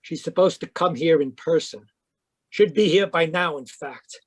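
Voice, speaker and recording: A man's voice: a little high, measured pace, no room sound. A man playing a crusty, irritable older man in a radio drama read live over a video call. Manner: gruff, irritable, suspicious. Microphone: home microphone on a video call.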